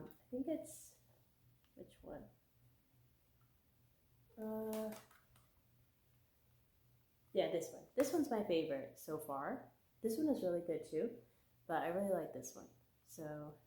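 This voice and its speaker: A woman's voice: on the low side, warm tone, roomy sound, slight background noise. A young woman with a worn voice from a cold, chatting casually about her month's reading, with many fillers. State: getting over a cold, voice giving out. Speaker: book vlogger. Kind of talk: casual chat.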